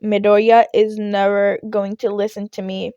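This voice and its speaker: Weary male schoolteacher, female reader: grumpy, flat deadpan delivery for audio fiction, close dry recording. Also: mid-range voice, moderate pace, no room sound, highs cut off, close to an everyday voice. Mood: flat disbelief. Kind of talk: fan fiction audio reading.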